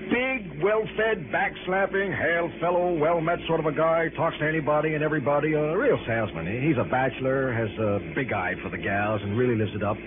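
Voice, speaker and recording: A man acting an excitable, irritable, chatty American insurance man at the wheel in an early 1960s radio drama, emphatic and rambling. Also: a little high, a little fast, few pauses, a crowd in the background, natural voice. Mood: eager, explanatory. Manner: rambling, emphatic, easily exasperated. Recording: muffled old radio recording, loud car noise.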